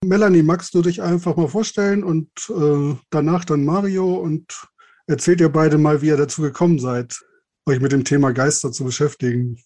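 Higher-pitched male podcast host. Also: fairly even intonation, normal speed, a little mellow. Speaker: podcast host interviewing guests. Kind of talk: podcast introduction and interview.

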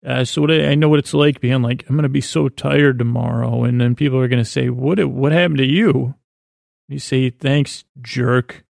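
A man hosting a podcast with a halting, meandering bedtime monologue in a creaky voice, often trailing off mid-thought. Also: medium pitch, steady tone, darkish tone, dry recording, clean studio sound. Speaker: podcast host. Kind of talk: rambling comedic bedtime monologue.

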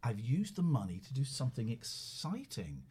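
Husky, lively male co-host. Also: mid-range voice, moderate pace. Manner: jokey, teasing, rambling. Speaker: co-host of a live show. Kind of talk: casual live-stream chat.

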